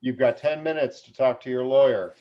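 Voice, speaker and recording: A man's voice: mid-range voice, a little fast, close to an everyday voice. A man playing a stern prison guard in a table read, curtly barking orders. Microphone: video call. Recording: muffled video-call audio.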